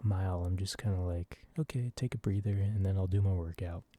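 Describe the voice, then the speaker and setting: A man softly rambling in a casual ASMR style, hesitant and informal, with a breathy voice. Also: deep voice, a little fast. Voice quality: soft, breathy. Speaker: video creator. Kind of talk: soft-spoken ASMR ramble.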